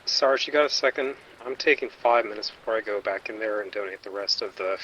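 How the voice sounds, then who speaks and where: A man's voice: lowish voice, bright, forceful tone, highs cut off, a little hiss or hum. A man playing a wisecracking, grumbling undercover vice cop in a radio drama, with sardonic delivery. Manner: sardonic, complaining. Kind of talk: naturalistic police radio drama acting.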